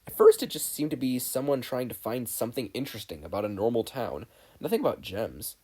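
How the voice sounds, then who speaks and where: A narrator reading a fan fiction story aloud in an expressive, scripted style. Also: medium pitch, brisk, slightly rough. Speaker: podfic narrator.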